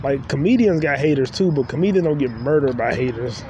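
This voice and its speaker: A man's voice: medium pitch, lively intonation, fairly quick, darkish tone, highs cut off. A young man hosting a podcast, riffing loosely in a rambling, joking solo monologue while walking outdoors. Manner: loose, joking, talks to himself. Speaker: podcast host. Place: outdoors on city streets. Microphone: phone recording while walking. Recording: street traffic noise behind.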